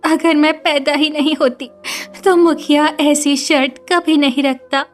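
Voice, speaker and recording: A woman voicing a devoted, kind, distressed village daughter in an animated story, earnest and melodramatic. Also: high-pitched, measured pace. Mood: tearful, guilty. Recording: music behind the voice.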